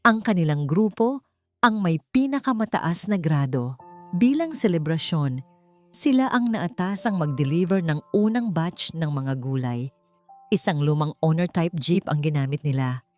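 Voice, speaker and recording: Female audiobook narrator, lowish register, measured romantic storytelling with deliberate pauses, music underneath. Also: darkish tone. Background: background music.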